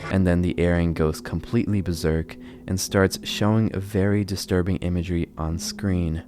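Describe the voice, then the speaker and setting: A man's voice: low-pitched, fairly even intonation, moderate pace, noisy background. A man hosting a horror video channel, giving casual commentary on clips.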